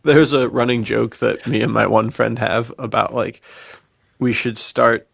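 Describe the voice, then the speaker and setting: Enthusiastic man hosting a podcast, gravelly edge. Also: mid-range voice, level tone, a little slow, fairly bright tone, no echo. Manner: enthusiastic, effusive, slangy, laughs often. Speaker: podcast host. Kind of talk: casual podcast chat.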